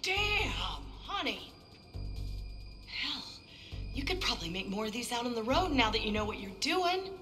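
A woman's voice: fairly high pitch, big pitch swings, moderate pace, pauses often, bright, forceful tone, soft background music. A woman playing a tough, foul-mouthed survivor in a video game cutscene. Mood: easygoing.

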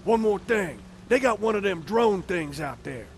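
A man's voice: high-pitched, expressive, normal speed, a little hiss or hum. A man playing a shifty, scheming escaped convict in a video game, with folksy, slangy talk. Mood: coaxing. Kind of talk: cinematic game dialogue.

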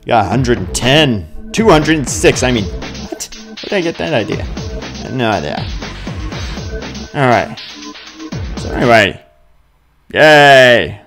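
A man in his twenties doing casual, excitable gameplay commentary full of exclamations and mild crude language. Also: medium pitch, slow, vocal fry, long pauses, over music. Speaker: gaming video host.

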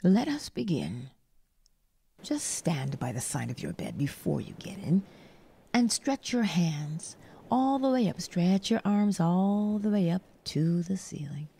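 A woman leads a nighttime guided meditation in a low, mellow voice with a creaky edge. She is breathy and soothing, often close to whispering, and leaves generous pauses between short, gentle instructions.

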